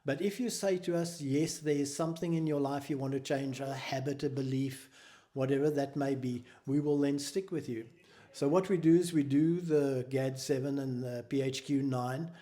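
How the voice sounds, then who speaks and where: Man, south african accent, warm mellow voice, slightly high, measured explanations. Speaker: charity founder. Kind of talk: interview answers.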